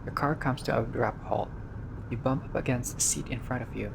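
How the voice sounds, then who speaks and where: A man doing a casual game playthrough, reading dialogue aloud and reacting with expressive, slangy asides. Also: medium pitch, a little fast, gravelly, background noise. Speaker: gaming video player reading dialogue aloud.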